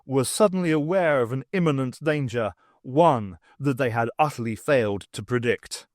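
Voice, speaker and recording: A man's voice: mid-range voice, moderate pace, no echo, studio quality. A man reading scripted dramatic narration of a science fiction story as an audiobook narrator. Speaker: audiobook reader.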